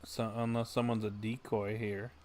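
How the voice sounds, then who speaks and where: A man's voice: fairly low pitch, level tone, moderate pace, a little mellow, a little hiss or hum. A man livestreaming a game, giving casual commentary and chatting as he plays. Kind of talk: casual live gaming commentary.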